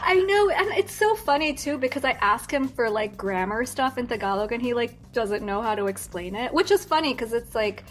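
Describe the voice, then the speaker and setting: A woman's voice: on the high side, animated, brisk, few pauses. Chatty giggly young American woman. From United States. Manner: chatty, giggly, many fillers and restarts. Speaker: guest singer. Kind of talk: casual interview chat.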